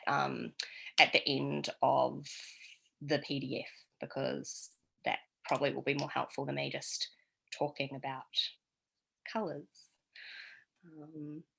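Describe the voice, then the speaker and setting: Chatty low-pitched Kiwi woman. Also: vocal fry, fairly bright tone. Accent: New Zealand. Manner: chatty, rambling with many fillers. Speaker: tarot teacher. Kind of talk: casual online class.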